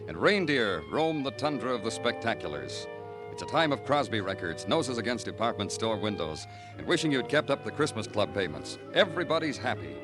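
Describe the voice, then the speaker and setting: An actor playing a world-weary New York homicide detective with a deliberate, hard-boiled, poetic delivery in 1950s radio noir style. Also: male voice, mid-range voice, very animated, lightly acted. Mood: wry, brooding. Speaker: lead actor and narrator. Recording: vintage 1950s radio recording, music behind.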